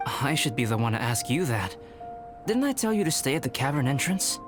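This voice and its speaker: A man voicing a composed young male alchemist who speaks in a measured, formal and precise way. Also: medium pitch, sing-song, lightly acted. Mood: puzzled. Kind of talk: video game voice acting. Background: game music.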